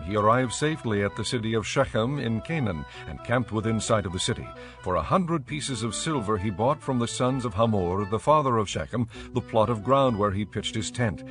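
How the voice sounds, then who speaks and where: A man narrating a dramatized audio Bible in a measured storytelling manner. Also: fairly low pitch, animated, fairly bright tone, soft background music.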